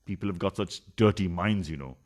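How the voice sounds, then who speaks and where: A man's voice: deep voice, fairly quick, darkish tone, close to an everyday voice. A man acting a pompous, scheming adulterous politician husband in a farcical radio play, with a sarcastic, theatrical delivery. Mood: scheming, smooth.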